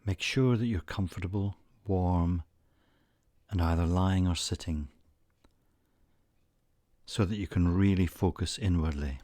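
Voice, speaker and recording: A man with a low voice leads a guided meditation at a very slow pace. His delivery is soothing and measured, broken by frequent pauses. The sound is dry and close, with no room around it. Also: dark tone, no echo. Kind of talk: guided meditation narration, scripted.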